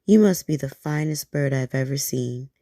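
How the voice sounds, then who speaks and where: Sly male storybook fox, read by a woman, low, gravelly, oily flattery. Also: normal speed, dry recording, studio quality. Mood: flattering. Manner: flattering, sweetly cunning.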